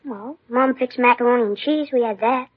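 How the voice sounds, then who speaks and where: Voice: mid-range voice, brisk, breathy edge, natural voice. A performer playing a polite, slightly wary schoolboy in a 1950s radio crime drama, giving short plain answers. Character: polite, guileless schoolboy son, a little wary. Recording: dull lo-fi 1950s radio recording.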